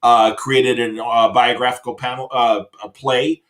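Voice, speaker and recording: A man's voice: mid-range voice, level tone, a little slow, fairly bright tone. A middle-aged man hosting a show with casual, enthusiastic chat, rambling and often hesitating as he looks for the next phrase. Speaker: talk show host.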